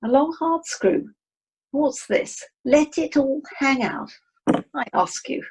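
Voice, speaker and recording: A woman's voice: high voice, takes it slowly, low-quality recording. A woman playing a snobbish, frugal English wife, genteel and disapproving, in an amateur radio comedy. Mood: disdainful.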